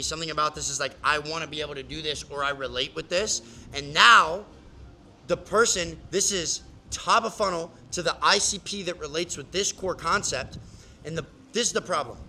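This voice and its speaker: A man talks a client through his strategy in a loose, emphatic coaching style, leaning on "like" and quick restarts. His voice is very bright and sits a little high. There's a faint hiss of noise underneath. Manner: casual, filler-heavy, emphatic explaining. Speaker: business coach. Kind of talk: live coaching session.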